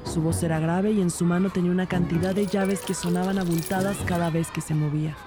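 A woman acting as a junior female detective in a crime radio play, telling her case in a low register. Music plays clearly behind her as she narrates and questions. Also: steady tone, fast talker, natural voice. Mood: composed, inquisitive. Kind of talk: scripted audio drama narration.

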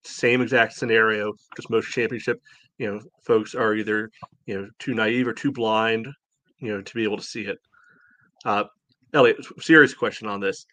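A man's voice: on the low side, fairly even intonation, moderate pace, fairly bright tone, dry recording. A man hosting a soccer podcast, giving blunt, indignant opinions and leaning often on "you know". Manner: frequent "you know" filler. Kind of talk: opinionated sports podcast discussion.

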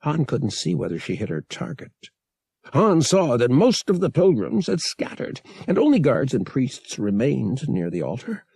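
Man narrating adventure fiction with sweeping pitch. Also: huge pitch swings, moderate pace, creaky, darkish tone, husky, dry recording, studio quality. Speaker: audiobook narrator. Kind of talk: dramatic scripted narration of an adventure novel.

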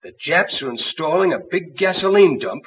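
A man's voice: a little high, lively intonation, moderate pace, natural voice. An actor playing a brash, wry, slangy tough-guy guerrilla sidekick in 1940s radio adventure style. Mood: emphatic, explaining. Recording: muffled, hissy old radio broadcast.

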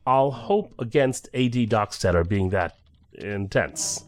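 Inquisitive male agent, lively intonation, wordy, questioning, science fiction drama, music bed. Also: lowish voice, slow pace. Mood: indignant. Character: inquisitive, slightly indignant male federal agent.

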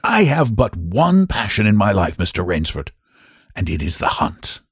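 A man's voice: fairly low pitch, big pitch swings, vocal fry, slightly rough, no room sound, studio quality, exaggerated voice. A man voicing a suave, menacing hunter general. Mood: suave, boastful. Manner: courteous, slow and deliberate.

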